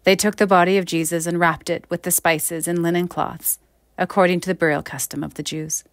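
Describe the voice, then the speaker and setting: A woman minister giving a measured reading of scripture. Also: fairly low pitch, fairly even intonation, no echo.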